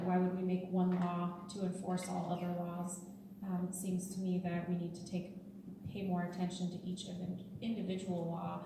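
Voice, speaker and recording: A woman candidate answers in a very flat, lowish voice, pausing and restarting as she goes. She sounds far from the mic in a large reverberant hall. Steady room noise sits behind her. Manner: hesitant, many fillers and restarts. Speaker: city council candidate. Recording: echoey hall from far off, noisy.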